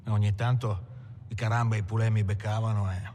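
An actor playing a tough, proud working-class gangster from Turin, reminiscing bluntly in a dramatic film monologue. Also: male voice, on the low side, little pitch movement, fast, mellow, a little hiss or hum, close to an everyday voice. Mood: defiant. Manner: colloquial, blunt, defiant. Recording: music behind the voice.

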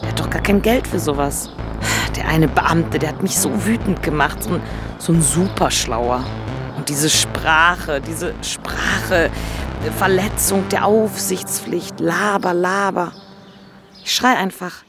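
A woman's voice: on the low side, slow pace, music in the background. An actress playing a defensive, embittered working-class mother of three in a naturalistic radio drama, talking bluntly and colloquially. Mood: angry, despairing.

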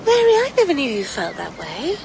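An actress playing a fragile, withdrawn young woman in a radio drama, quiet and distant. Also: sits high, huge pitch swings, brisk, gravelly, slight background noise, full character voice. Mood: gentle. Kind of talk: BBC radio drama acting.

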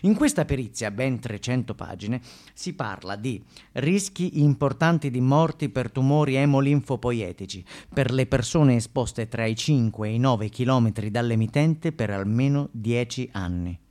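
A man's voice: medium pitch, animated, moderate pace, darkish tone. Grave male stage narrator. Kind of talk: scripted theatrical narration, documentary monologue.

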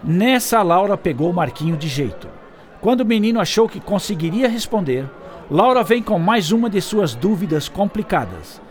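A man narrating a radio play in a theatrical, animated storytelling manner. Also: sits high, normal speed. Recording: music behind.

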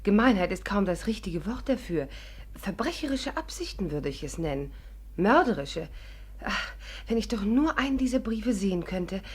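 An actress playing a clever, courteous amateur sleuth who also narrates a 1950s radio play, earnest and articulate. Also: female voice, mid-range voice, big pitch swings, moderate pace, a little mellow, breathy edge. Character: clever, polite amateur sleuth bluffing as secretary. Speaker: heroine and narrator.

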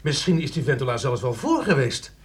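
An actor in a Dutch radio play playing an agitated, indignant, sour friend who insists and repeats himself. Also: male voice, mid-range voice, very animated, brisk, natural voice. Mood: bewildered, peeved.

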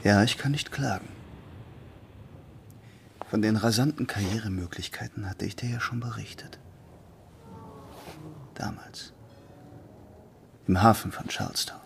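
A man's voice: lowish voice, a little slow, gravelly, pauses often, slight background noise. A man acting in a German radio play as an earnest, lovesick, upright naval officer with a formal, pleading way of talking. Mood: guarded, earnest. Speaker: radio drama actor.

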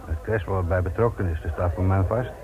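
Wry male police inspector, acted by a man, low-pitched and drily insolent, radio drama on a narrow, phone-like old recording. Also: very noisy, natural voice. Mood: dryly confident. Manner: dry, ironic, unhurried banter. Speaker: actor in a Dutch radio play.

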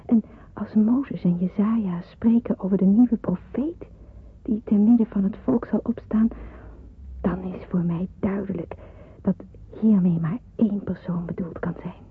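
An actress playing an earnest, devout young woman in wartime hiding in a radio drama, with a husky, breathy voice. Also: mid-range voice, unhurried, slight background noise, close to an everyday voice. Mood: devout, solemn. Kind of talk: radio drama acting with narrated monologue. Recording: muffled, phone-like radio play recording.

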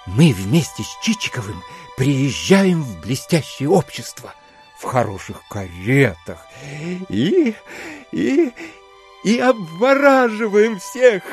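Syrupy slow-talking dreamy gentleman. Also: a little high, full character voice. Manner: cloying, drawn-out, hesitant, gushing delivery. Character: sugary, sentimental, dreamy landowner and genial host. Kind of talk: audio drama acting.

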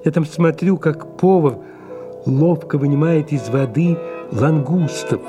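A man acting a world-weary, sardonic aging male writer in exile in an audio play, pausing and drawing out his words. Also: fairly high pitch, lightly acted. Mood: bitter, ironic. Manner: slow, drawn-out, pausing, declamatory. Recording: music clearly behind the voice.

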